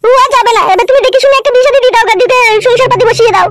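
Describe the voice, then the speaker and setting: A woman's voice: very high voice, rapid-fire, no room sound, clean studio sound. A woman playing a protective, pleading village mother in a comedy cartoon.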